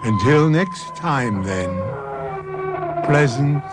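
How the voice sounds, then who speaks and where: Slow, ominous older male radio host. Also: a little high, lively intonation. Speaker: host and producer. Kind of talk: scripted radio outro. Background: music.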